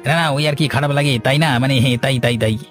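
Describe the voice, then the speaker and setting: A man's voice: mid-range voice, fast talker, fairly bright tone, faint music behind, close to an everyday voice. An actor playing a nervous, guilty village henchman in a cartoon, hesitant and evasive. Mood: uneasy, evasive. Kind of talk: cartoon drama acting.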